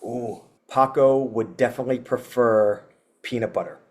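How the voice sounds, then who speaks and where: A man's voice: mid-range voice, steady tone, moderate pace, a little mellow. A man, a metal band frontman, chatting as a podcast guest, rambling and laughing, with many filler words. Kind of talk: casual interview chat.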